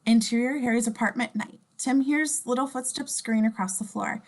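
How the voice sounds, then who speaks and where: Higher-pitched woman narrating a script. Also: brisk. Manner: informal, with wry asides. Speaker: scene description reader. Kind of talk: scripted narration in casual table read.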